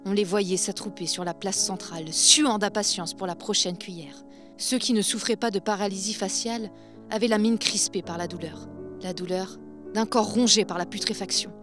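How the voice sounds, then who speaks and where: A woman storyteller giving a scripted, literary and solemn narration of a dark satirical folk tale. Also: medium pitch, fairly even intonation, normal speed, soft background music.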